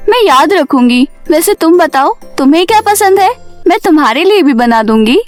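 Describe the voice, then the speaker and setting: A put-upon young stepmother, played by a woman narrator, speaks in a high, gentle, plaintive voice. She sounds meek and innocent, a cartoon daughter-in-law with music playing behind. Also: animated, moderate pace. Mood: gentle, concerned.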